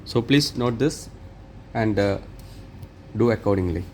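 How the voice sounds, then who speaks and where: A man presenting an unscripted step-by-step tech tutorial in halting, short phrases with non-native phrasing. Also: fairly low pitch, slight background noise.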